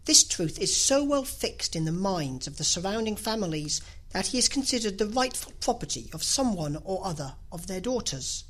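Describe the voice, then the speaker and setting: Expressive, slightly husky woman audiobook narrator. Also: medium pitch, moderate pace, hardly any pauses. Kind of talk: scripted narration of a classic novel.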